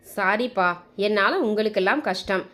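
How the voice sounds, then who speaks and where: A woman's voice: medium pitch, steady tone, normal speed, fairly bright tone, close to an everyday voice. A woman voicing a hurt, loving middle-aged mother with little schooling, plaintive and apologetic, in a narrated story. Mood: weak, apologetic.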